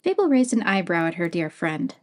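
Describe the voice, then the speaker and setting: A woman narrating a fantasy story in a gentle, unhurried way. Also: fairly low pitch, very animated. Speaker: audiobook narrator.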